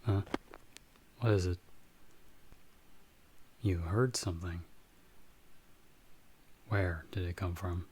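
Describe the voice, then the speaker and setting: A man playing a calm, guarded magic-wielding investigator in an ASMR audio roleplay, speaking in a measured, patient, instructive way with pauses. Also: deep voice, husky, full character voice. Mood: wary, serious.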